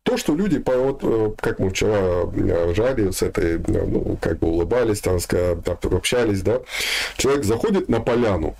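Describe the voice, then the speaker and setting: A man's voice: lowish voice, lively intonation, normal speed, gravelly, mellow. A man giving an informal spiritual talk, speaking colloquially with some slang and addressing his listeners directly.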